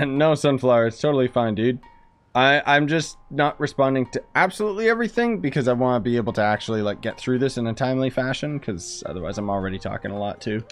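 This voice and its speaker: A man's voice: mid-range voice, expressive, fast talker. A man giving casual, sarcastic live commentary as a video game streamer, in an informal way. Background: faint game music.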